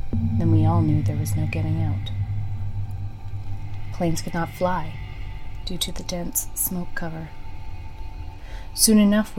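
A woman playing a weary, practical survivor who records a memoir of a burned world in a solemn, measured monologue. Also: lowish voice, close to an everyday voice, poor audio. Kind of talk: scripted audio drama monologue.

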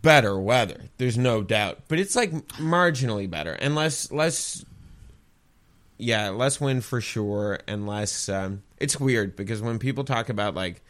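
A young man from Newfoundland talks in a relaxed, casual way, with just a trace of the island's accent. His voice sits low but moves around a lot in pitch, and he delivers grim jokes completely deadpan before laughing. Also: a little slow. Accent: faint Newfoundland. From Newfoundland, Canada. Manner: deadpan dark joking, bursts of laughter. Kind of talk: casual chat.

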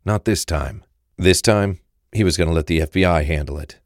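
Very deep-voiced male narrator, dry sound. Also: normal speed, dry recording. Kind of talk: scripted narration of a crime thriller.

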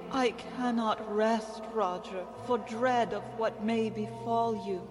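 A woman playing the solemn ghost of a dead woman in a radio drama, speaking in a drawn-out chant. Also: fairly high pitch, steady tone. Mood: solemn, foreboding. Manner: slow, drawn-out, chanting delivery. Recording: long cavernous reverb, noisy background.

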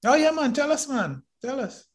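A man's voice: very high voice, big pitch swings, normal speed. A man hosting a podcast, eagerly asking long, roundabout questions and often repeating words. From Trinidad and Tobago. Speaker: podcast host. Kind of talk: casual interview chat.

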